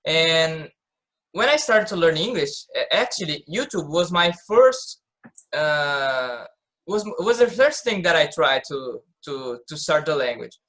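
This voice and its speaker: Man, late twenties, bright tone, halting repetitions. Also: fairly high pitch, lively intonation. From Brazil. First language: Portuguese. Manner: halting, repeats words while searching. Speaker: participant. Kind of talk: casual group discussion.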